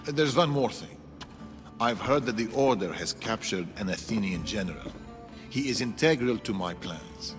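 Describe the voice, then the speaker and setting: An actor playing a stern, calculating rebel strategist in a video game, speaking in a measured, commanding way. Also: male voice, fairly low pitch, expressive, natural voice. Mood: stern, purposeful. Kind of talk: video game cutscene acting. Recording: long reverberant hall, music behind.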